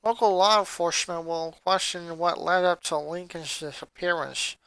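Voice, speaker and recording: A woman's voice: lowish voice, normal speed, fairly bright tone, clean tone, dry recording, low-quality recording. A woman voicing a precocious small girl who talks in a formal, pedantic way. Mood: tired, matter-of-fact. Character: precocious, formal four-year-old genius girl.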